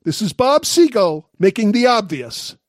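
A man's voice: sits high, big pitch swings, measured pace. A man of sixty-nine hosting a talk commentary monologue, making wry, sarcastic jokes. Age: 60s. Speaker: commentary host.

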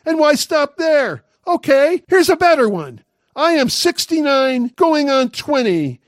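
A man's voice: very high voice, very animated, unhurried, dry recording, studio quality. A man of sixty-nine hosting a talk commentary monologue, making wry, sarcastic jokes. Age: 60s. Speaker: commentary host.

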